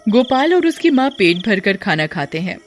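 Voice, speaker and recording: A woman's voice: medium pitch, big pitch swings, moderate pace, soft background music. A woman working as narrator, reading a scripted children's story.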